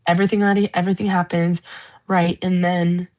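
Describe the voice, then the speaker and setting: A woman's voice: fairly low pitch, little pitch movement, fast, darkish tone. Young woman, livestream host; gushing, emotional, rambling chat. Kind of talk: casual livestream chat about a book series.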